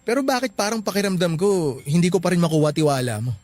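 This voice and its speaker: Man acting an earnest, higher-voiced young boyfriend. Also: big pitch swings, fast talker, darkish tone. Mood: hurt, pleading. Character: earnest young boyfriend, devoted but frustrated. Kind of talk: Tagalog radio-style drama acting.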